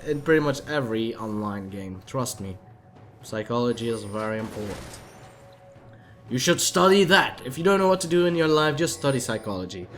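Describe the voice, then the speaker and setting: A tipsy man with a Dutch accent casually commentating a video game match, chatty and rambling, with sudden excited outbursts. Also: medium pitch.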